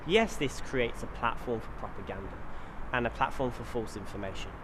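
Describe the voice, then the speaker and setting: A man's voice: medium pitch, brisk, background noise. A man giving an earnest scripted documentary narration, often posing rhetorical questions. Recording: loud music behind voice. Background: loud music.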